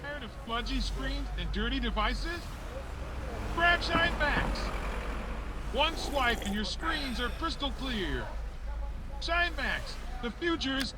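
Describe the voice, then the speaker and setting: Man doing a high-pitched, swooping ad announcer, far off. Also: big pitch swings, slow pace, pauses often, very noisy, full character voice. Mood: salesy. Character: upbeat, slick futuristic commercial announcer. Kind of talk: advert parody in audio drama.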